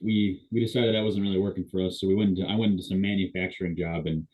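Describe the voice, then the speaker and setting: A man introducing himself to an online class, with hurried restarts, over a video call. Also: deep voice, fairly even intonation, husky, dull audio. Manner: quick, self-correcting restarts. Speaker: student. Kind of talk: self-introduction.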